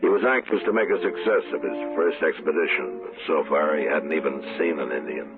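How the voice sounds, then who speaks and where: A very deep, grave American male voice as a steady frontier marshal in an old radio western. The sound is dull and band-limited, with music behind it. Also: brisk. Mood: measured narration. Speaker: lead actor and first-person narrator. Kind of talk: 1950s western radio drama acting.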